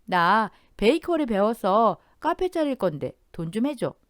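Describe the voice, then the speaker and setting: A woman's voice: mid-range voice, very animated, unhurried, dry recording, studio quality, pitched above the performer's own voice. A woman voicing a spoiled, careless young sister-in-law who whines and sulks in an audio drama. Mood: whiny. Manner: sulky, whiny.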